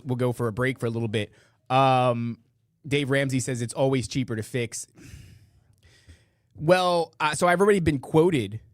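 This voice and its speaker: A man with a rough voice hosting a podcast, chatting casually in rambling, slangy, profane talk to a live audience online. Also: mid-range voice, no echo, studio quality. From northeastern United States. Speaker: podcast host. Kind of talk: casual live chat, reading listener comments.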